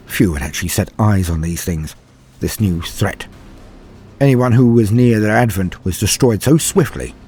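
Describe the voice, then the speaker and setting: A man's voice: sits low, darkish tone. A man playing a haunted, fearful, weary soldier, telling a scripted war horror story with grave, theatrical delivery. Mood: grim, tense.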